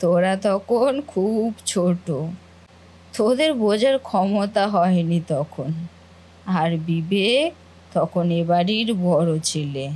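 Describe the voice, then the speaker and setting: A woman's voice: mid-range voice, darkish tone, breathy edge, low-quality recording. Woman acting a scheming, nosy older aunt. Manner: insinuating, gossipy, drawn-out questioning. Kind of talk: cartoon family drama acting.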